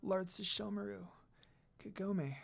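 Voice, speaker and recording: A reader voicing a sweet, polite little girl who is sick and speaks softly and weakly. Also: mid-range voice, measured pace, gravelly. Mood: weak, glad. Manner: weak, soft speech.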